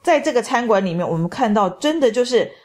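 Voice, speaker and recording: Measured woman. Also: fairly high pitch, expressive, a little mellow. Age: middle-aged. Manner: descriptive, measured, enthusiastic about food. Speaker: travel vlog co-host. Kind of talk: casual travel commentary voice-over.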